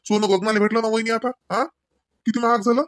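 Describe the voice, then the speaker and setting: Man acting higher-voiced, grumbling young Marathi husband. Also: little pitch movement, fairly quick, no room sound, lightly acted. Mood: indignant. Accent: Vidarbha Marathi. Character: exasperated young husband venting to his sister-in-law. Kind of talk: cartoon dialogue in rural dialect.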